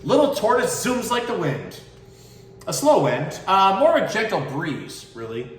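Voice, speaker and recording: A man with a fairly high, very animated voice talks like an educational presenter. His delivery is casual and explanatory. He is recorded away from the mic in an echoey room. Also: unhurried. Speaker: zookeeper presenter. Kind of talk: casual educational talk and picture book read-aloud. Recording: roomy, distant mic, faint background noise.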